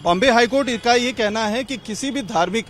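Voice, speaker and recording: High-pitched male street reporter, Indian accent. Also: a little fast, fairly bright tone. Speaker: field news reporter. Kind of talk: street vox pop interviewing. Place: outdoors on a city street near a mosque. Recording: outdoor street, faint traffic noise.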